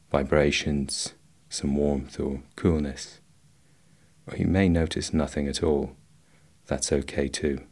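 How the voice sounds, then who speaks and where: A man guiding a meditation in a slow, measured, instructional way, leaving long pauses between phrases. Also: a bass voice, darkish tone. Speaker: meditation guide. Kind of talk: guided body scan meditation.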